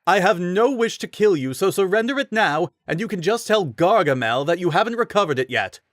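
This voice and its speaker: A man voicing a pompous, vain young male rider who talks in a high, haughty whine. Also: expressive, brisk, no echo, clean studio sound. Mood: commanding. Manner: shrill, whiny and haughty.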